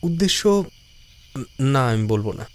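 An actor playing a sensitive, tentative sidekick to a detective in an audio drama. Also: male voice, mid-range voice, sing-song, measured pace. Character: hesitant, sensitive young male detective's sidekick.

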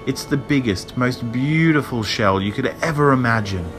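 A man voicing a tiny talking seashell with a small high voice, sly and pleading as it urges and croons. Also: sing-song, measured pace, music in the background. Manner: urging, crooning persuasion.

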